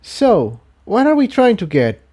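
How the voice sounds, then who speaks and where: Storybook pony heroine, played by a man: young, female, raised pitch, careful and questioning, willing though uneasy. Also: huge pitch swings, unhurried, dark tone, full character voice. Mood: uneasy, curious.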